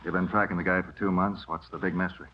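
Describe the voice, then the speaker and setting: A gruff American police captain, played by a male actor, deep-voiced and very quick. He is brusque and demanding, in old radio drama style. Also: fairly even intonation, a little hiss or hum, lightly acted. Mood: irritated. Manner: brusque, emphatic. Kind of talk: 1950s radio crime drama acting. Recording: dull old radio recording.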